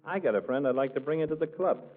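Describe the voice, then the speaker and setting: A man's voice: on the high side, fast talker, natural voice. A man playing a stubborn, wisecracking small-town working man with an American accent, slangy and argumentative, in 1940s radio comedy. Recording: tinny 1940s radio recording.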